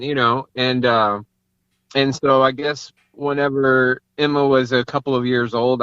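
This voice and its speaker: A man with a bright, forceful voice at an ordinary pitch, chatting informally as an interview guest. He thinks aloud in a conversational way. The audio is dull and band-limited. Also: no echo. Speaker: guest, entrepreneur and father. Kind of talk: casual interview chat.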